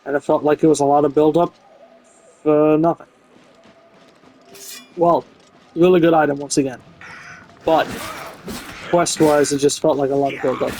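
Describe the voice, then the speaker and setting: Higher-voiced man reviewing a game. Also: normal speed, gravelly, long pauses, dark tone, slight background noise. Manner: frank, opinionated. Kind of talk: casual, unscripted game review commentary. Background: music behind the voice.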